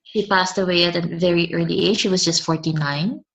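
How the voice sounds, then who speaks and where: A woman's voice: lowish voice, fairly even intonation, fairly quick, fairly bright tone, low-quality recording. A woman, a guest speaking hesitantly and often restarting her words. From Philippines. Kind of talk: conversational interview.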